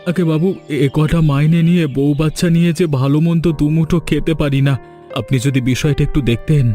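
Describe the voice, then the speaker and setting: A humble farmhand father from a cartoon fairy tale, acted by a man. His voice is mellow and soft-edged, with an anxious, pleading manner. Music plays behind him. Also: a little high, fairly even intonation, brisk, close to an everyday voice. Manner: deferential, pleading.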